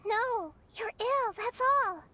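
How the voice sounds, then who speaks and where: Very high, gentle young girl god. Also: takes it slowly, background noise. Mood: pleading. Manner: earnest, thoughtful, soft-hearted. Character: gentle, wise, childlike girl god, caring and resolute. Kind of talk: video game acting.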